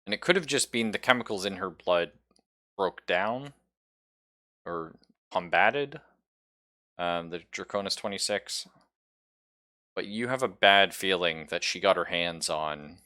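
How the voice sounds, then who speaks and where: A man improvising narration as game master of a horror tabletop roleplaying game, with halting, suspenseful storytelling. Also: low voice, pushed and bright.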